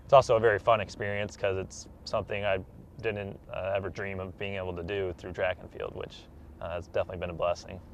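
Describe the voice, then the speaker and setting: A man's voice: low voice, little pitch movement, quick pace. A man, answering casually as an athlete being interviewed. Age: 20s. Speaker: interview guest, professional track athlete. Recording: dull, slightly noisy room recording.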